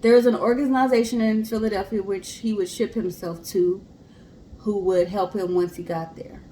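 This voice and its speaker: A woman co-hosting in an expressive, chatty way, telling stories casually. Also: medium pitch, moderate pace, a little hiss or hum, low-quality recording. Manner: chatty, expressive, acts out imagined remarks. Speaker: co-host. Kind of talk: casual chat and informal storytelling.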